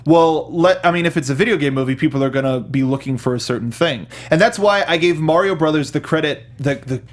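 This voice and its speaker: A man's voice: fairly high pitch, fairly quick. A man hosting a casual film review discussion, talking like an enthusiastic fan. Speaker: talk show host.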